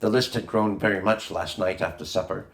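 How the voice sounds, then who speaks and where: A man's voice: lowish voice, steady tone, a little fast. A man reading a children's adventure novel aloud as scripted narration, with a roomy echo on the recording. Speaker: audiobook reader.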